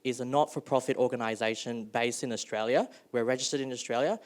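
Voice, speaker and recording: A young man from Malaysia speaks on a panel at a middle pitch. He hedges and restarts often, with frequent ums. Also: steady tone, fast. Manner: hesitant, many ums and restarts. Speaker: panel speaker, youth delegate. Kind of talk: panel talk.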